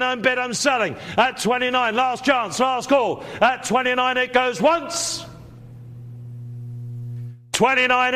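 A man hosting a televised art auction as auctioneer, calling bids in a rapid, repetitive chant and urging buyers on. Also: very high voice, sharp tone.

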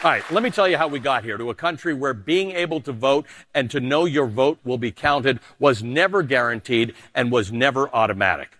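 A middle-aged man from Canada, a news anchor delivering emphatic political commentary and a personal essay, dropping low for personal asides. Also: lively intonation, fairly quick, hardly any pauses, fairly bright tone, no echo, some chatter behind.

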